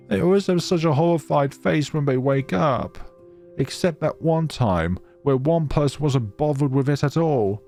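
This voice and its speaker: A man's voice: mid-range voice, darkish tone, voice pushed up. A man voicing a lonely young female snow spirit in a visual novel, wistful and hesitant, with a melancholy manner. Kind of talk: visual novel character reading.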